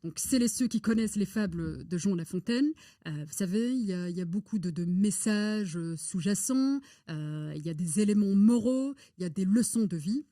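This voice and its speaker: A woman speaking as an interview guest, telling her story in an articulate, deliberate, conversational way. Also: mid-range voice, expressive, mellow, dry recording, studio quality. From born in Morocco. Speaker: interview guest, public affairs and rhetoric consultant.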